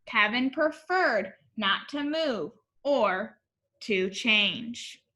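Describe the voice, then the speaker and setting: A woman reading a picture book aloud in an animated, expressive way, as if to young children. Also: a little high, extremely slow, a little hiss or hum. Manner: expressive, addressing young listeners.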